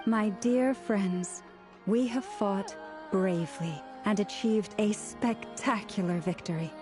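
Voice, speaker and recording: Woman acting slow-spoken, gracious game heroine. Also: mid-range voice, music in the background, lightly acted. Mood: solemn, grateful. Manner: formal, measured. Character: composed adult heroine, formal, gracious, gently caring. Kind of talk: anime-style video game voice acting.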